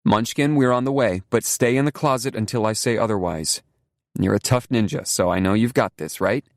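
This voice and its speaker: Protective, firm woman in a crisis, voiced by a man, soft-spoken but urgent, dry booth sound. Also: lowish voice, moderate pace, no echo, pitched above the performer's own voice, natural voice. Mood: soothing, urgent. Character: protective determined adult woman, calm under pressure. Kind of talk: audiobook character voice.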